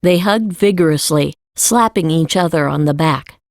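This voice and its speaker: A woman's voice: low voice, moderate pace, no room sound, clean studio sound. A woman reading scripted narration for a fantasy audiobook.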